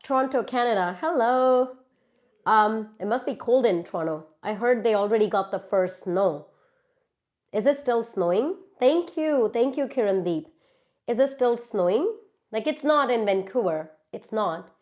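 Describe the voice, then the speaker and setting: A woman's voice: a little high, animated, measured pace, darkish tone. A woman from India, stuffy from a cold, chatting casually with viewers on a live stream from her phone. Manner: chatty, reads out viewer comments and answers them. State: congested from a cold. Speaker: YouTuber hosting a live Q&A.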